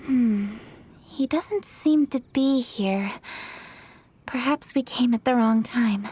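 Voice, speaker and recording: An actress playing a young female official who speaks crisply and with authority. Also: fairly high pitch, takes it slowly, darkish tone. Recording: game dub with faint background music.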